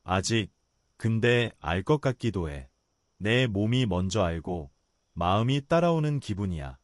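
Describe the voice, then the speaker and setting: A man voicing a gentle, kind young male tiger in a bedtime story, speaking low, quiet and earnest. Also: sing-song, measured pace, no echo, clean studio sound. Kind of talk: storybook voice acting.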